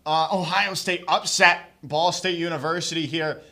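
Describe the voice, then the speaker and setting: A young man hosting a live college esports stream. He thanks the sponsors and introduces the match in a casual, halting way, with lots of fillers. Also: a little high, steady tone, bright, forceful tone. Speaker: esports host.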